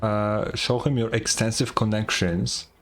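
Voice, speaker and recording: A man's voice: on the low side, steady tone, measured pace. A man giving casual reaction commentary as he plays a game, muttering and thinking aloud with some hesitation. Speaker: gaming streamer. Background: game music.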